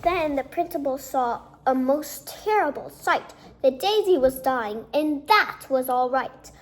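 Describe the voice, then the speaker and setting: A woman's voice: high-pitched, lively intonation, a little slow, a little hiss or hum. A woman reading a rhyming children's storybook aloud. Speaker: children's book reader.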